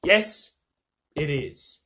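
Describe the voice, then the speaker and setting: A parent reading a storybook aloud, voicing a hurried male beaver with a put-on Cockney accent. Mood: firm. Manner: loud and hurried.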